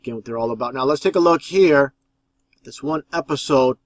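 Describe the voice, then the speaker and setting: A man's voice: mid-range voice, brisk, no room sound. A man giving conspiracy commentary over video clips as the host, explaining in an insistent, repetitive way.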